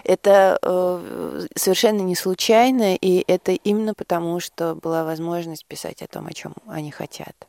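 A woman answering as a radio interview guest, in thoughtful phrases broken by hesitant pauses. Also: on the low side, fairly even intonation, gravelly, warm tone, dry recording. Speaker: interview guest, drama project representative. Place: radio studio.

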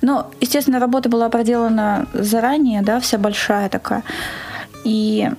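A woman's voice: fairly high pitch, fairly even intonation, moderate pace, darkish tone. Woman recounting events in a conversational interview. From Krasnoyarsk, Russia. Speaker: studio guest, event organizer. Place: radio studio.

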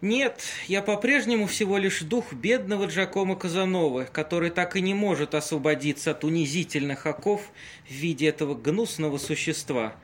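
An actor playing the courtly ghost of Casanova in an audio play, speaking in florid, pompous, old-fashioned polite phrases. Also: male voice, a little high, fairly quick, natural voice. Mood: aggrieved, disdainful. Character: courtly, wordy ghost of Casanova inhabiting a tramp. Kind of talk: amateur audio play acting.

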